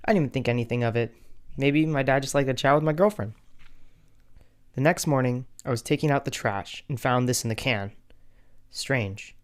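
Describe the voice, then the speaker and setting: A man's voice: medium pitch, normal speed, long pauses, lightly acted. A man voicing a betrayed young man who tells his family drama wryly, in first-person cartoon story narration. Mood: reminiscing.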